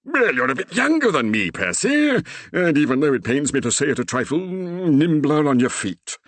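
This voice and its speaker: A man's voice: on the high side, very animated, normal speed, dry recording, voice pushed up. A man voicing a blustering, panicky older country vicar who exclaims in old-fashioned oaths. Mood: resigned. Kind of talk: audiobook character voice.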